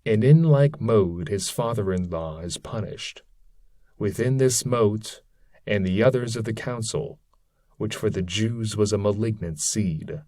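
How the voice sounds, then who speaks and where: A man's voice: fairly low pitch, unhurried, dark tone, no echo, clean studio sound, lightly acted. A man voicing a grieving damned friar in a formal, weary, archaic way for an epic poem. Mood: mournful. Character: grieving damned friar, hypocrite's shade in leaden cloak. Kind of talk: dramatic reading of epic verse.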